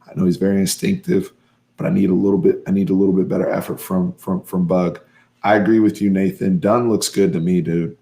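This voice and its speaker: A hoarse man hosting a live fan stream, recapping a football game in an excitable, emphatic way and repeating his points. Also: sits low, fairly quick. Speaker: host of a fan sports channel.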